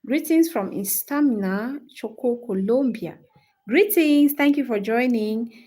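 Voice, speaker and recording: A woman in her twenties with a Cameroonian English accent, chatting casually with livestream viewers in an animated, opinionated and emphatic way. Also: a little high, a little slow. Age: 20s. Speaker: livestream host, English-teaching creator.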